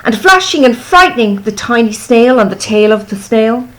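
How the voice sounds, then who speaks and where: A woman reading a children's storybook expressively, with deliberate dramatic phrasing. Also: fairly high pitch, animated, poor audio. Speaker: volunteer storyteller.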